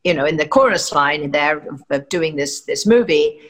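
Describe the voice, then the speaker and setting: A woman's voice: low-pitched, lively intonation, moderate pace, fairly bright tone. An older woman from England, an actress, giving chatty, rambling interview answers full of fillers and restarts.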